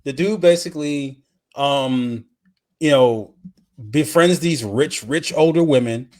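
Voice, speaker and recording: A man's voice: a little high, slow, darkish tone. A man co-hosting a podcast, telling stories casually with exclamations and repeated phrases. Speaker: podcast co-host. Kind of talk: casual podcast chat, recounting a film.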